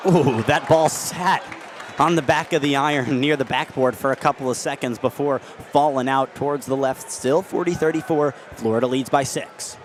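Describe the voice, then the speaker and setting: A young man in his twenties calling basketball play-by-play on the radio, with rapid, vivid play calling. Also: medium pitch, slight background noise. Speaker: play-by-play announcer. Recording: radio call with loud arena crowd. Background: arena crowd and bouncing ball.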